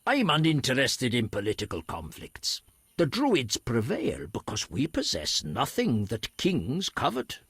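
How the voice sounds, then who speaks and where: A man playing a serene, courteous druid in a video game, gentle, formal and unhurried. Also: mid-range voice, wildly swooping pitch, breathy edge, no echo, clean studio sound, heavily acted. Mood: grave, regretful. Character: serene, courteous male druid elder, lover of nature. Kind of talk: video game dialogue acting.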